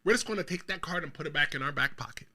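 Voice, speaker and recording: A man from the Bronx hosts his own wrestling show, speaking directly to his viewers. His voice is high and piercingly bright, and it swings widely in pitch. He pushes out his hot takes with real emphasis. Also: expressive, rapid-fire. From the Bronx, New York. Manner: talks straight to viewers. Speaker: show host. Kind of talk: solo wrestling commentary show.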